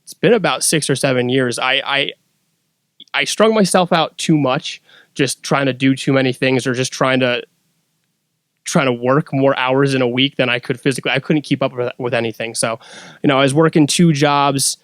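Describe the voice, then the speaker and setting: A man's voice: medium pitch, moderate pace, fairly bright tone, no room sound. A man speaking as a podcast guest, casually telling a personal story.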